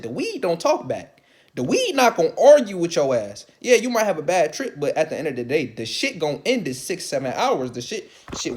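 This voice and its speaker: Rambling, cursing man ranting to camera. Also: a little high. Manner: swearing, rambling, repeats himself, rises to loud excited outbursts; calls his own voice light. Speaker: vlogger. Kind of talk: casual rant to camera.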